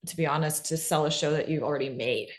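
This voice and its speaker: Woman w a low voice, casual panel talk, explains stuff informally, lots of likes and rights. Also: quick pace. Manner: informal, frequent "like" and "right?" tags. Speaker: panelist presenting on fiction podcast pitching. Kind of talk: casual unscripted advice talk.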